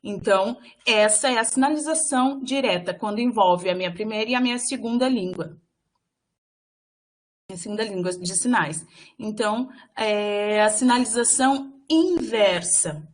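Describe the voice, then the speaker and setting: A woman interpreter giving live voice to a signed lecture, speaking in halting phrases with frequent fillers and restarts. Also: medium pitch. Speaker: sign language voice interpreter for a workshop.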